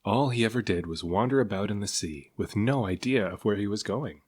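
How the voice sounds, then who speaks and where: Male narrator, low pitch, lively varied intonation, children's read-aloud, expressive. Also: fairly quick, husky. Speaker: storybook reader.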